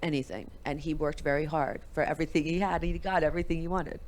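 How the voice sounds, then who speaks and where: A woman who is a guest on a talk show tells her parents' love story with lively acted-out dialogue. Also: low voice, quick pace. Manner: animated storyteller acting out family dialogue. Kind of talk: storytelling in an interview.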